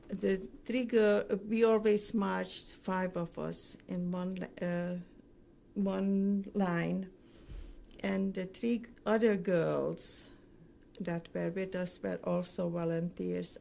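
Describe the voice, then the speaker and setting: An older woman gives recorded testimony, recalling the past in an interview. Her speech is slow and halting, broken by pauses, and her voice has a gravelly creak. There is faint noise behind her. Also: lowish voice, dark tone. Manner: halting, pausing often mid-sentence. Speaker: Holocaust survivor giving testimony. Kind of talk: recorded oral history interview, recounting memories.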